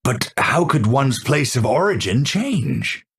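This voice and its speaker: A man voicing a gentle, absent-minded old scientist in a video game, courteous and formal, with halting speech. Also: medium pitch, big pitch swings, gravelly, slight background noise, full character voice. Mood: musing. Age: older. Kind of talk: video game character voice lines.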